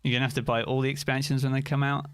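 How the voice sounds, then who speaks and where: A man's voice: mid-range voice, flat delivery, very fast, smooth, dry recording, faint music behind. A British man streaming live, chatting casually and answering viewers' comments by name.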